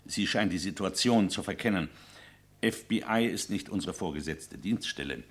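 An actor playing a calm, firm senior New York police official in a vintage radio crime drama, speaking in a measured, formal way. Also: male voice, sits low. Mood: firm, rebuking.

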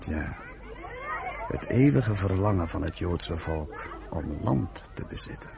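A genial professor in a radio play, idealistic and earnest. His voice is very deep and creaky, with lively intonation, over a noisy recording with crowd ambience. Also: slow pace, full character voice. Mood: proud, earnest. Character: genial professor leading a kibbutz children's village, earnest idealist. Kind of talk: Dutch radio play acting.

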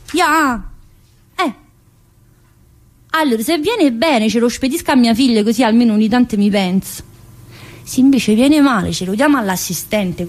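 A woman's voice: a little high, a little mellow. An actress playing a brash, restless middle-aged female prison inmate in a theatrical monologue, with a southern Italian accent and a chatty, confrontational manner. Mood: teasing, coy. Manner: chatty, confrontational, with frequent interjections and questions. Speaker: stage actress performing a monologue.

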